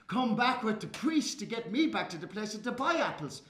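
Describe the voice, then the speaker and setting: Booming, gushing Irish shopkeeper in a stage monologue, high and loud, as a man puts him on, echoey room. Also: big pitch swings, moderate pace. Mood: chatty. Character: jovial booming male hardware shopkeeper, middle-aged.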